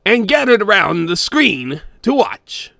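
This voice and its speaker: A man voicing a gruff, greedy old cartoon sea-crab boss, gravelly and theatrical, narrating a comic scary story in character. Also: high-pitched, big pitch swings, a little slow, pushed and bright, no room sound, studio quality, heavily acted. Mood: wry, grumbling. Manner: theatrical, with grumbling asides and barking chuckles.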